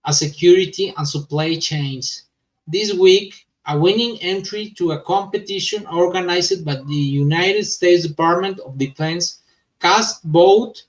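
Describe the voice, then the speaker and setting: Male news vlogger, native Spanish speaker with accent, slightly high voice, unhurried and stumbling delivery, set back from the mic. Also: far from the mic, low-quality recording. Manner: halting, stumbling non-native English. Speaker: host of a crypto news vlog. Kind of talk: reading news with informal commentary.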